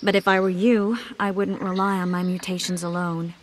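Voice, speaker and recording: A woman plays a knowing mage and healer from a video game. Her voice is a little low, and she explains things in a measured, forthright way over faint ambient noise. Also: flat delivery, lightly acted. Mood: clinical, matter-of-fact.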